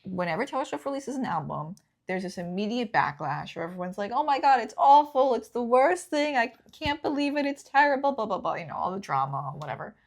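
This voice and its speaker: Lively young woman with animated pitch. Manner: lively, quick to laugh, chatty. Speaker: host. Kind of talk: casual chat.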